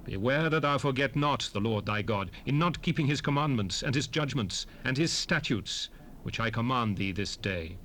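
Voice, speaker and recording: A solemn male prophet addressing his nation in a 1970s scripture drama. His mid-pitched voice is oratorical and measured, with wide, emphatic swings in tone. The recording is an unpolished cassette rip with faint noise behind him. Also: animated, sharp tone. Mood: grave, warning.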